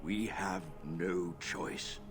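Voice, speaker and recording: Grave, slow, low-voiced village father. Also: steady tone, noisy background. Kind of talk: video game character dialogue.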